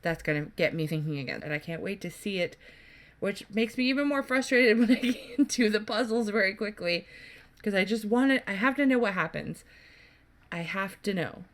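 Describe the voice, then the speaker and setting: A woman's voice: medium pitch, expressive, brisk. A woman doing casual gameplay commentary as a YouTuber, rambling along in a chatty, enthusiastic way. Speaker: gaming YouTuber. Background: game music.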